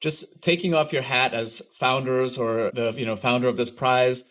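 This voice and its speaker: A man speaks as a podcast host with a mid-pitched voice. He puts questions to guests in an informal, conversational style. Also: fairly even intonation, normal speed, a bit bright. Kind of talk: conversational interviewing.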